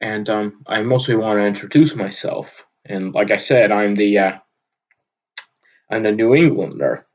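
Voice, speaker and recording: A young man from Vermont with a loud, booming voice, talking off the cuff in an unscripted audio log, rambling with lots of filler words. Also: unhurried, dull audio. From Vermont, USA. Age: young adult. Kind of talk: unscripted casual monologue. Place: at his desk, indoors. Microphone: computer microphone on a desk.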